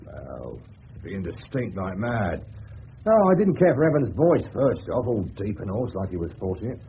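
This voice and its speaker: An actor playing a brash, sarcastic, slangy English suburban private detective in a 1970s radio drama. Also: male voice, on the low side, very animated, normal speed, gravelly. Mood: indignant. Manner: slangy, cocky, sardonic. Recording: tinny, hissy old radio broadcast.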